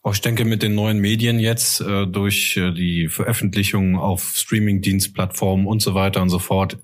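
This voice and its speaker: A man's voice: low-pitched, level tone, moderate pace. A man talking informally and conversationally as a podcast interviewer. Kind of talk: casual interview chat.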